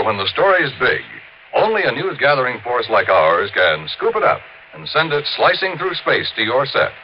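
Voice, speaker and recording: A male announcer from old-time radio with a medium pitch and a very lively swing to his voice. He reads emphatic, polished promos. The recording is muffled, with faint noise.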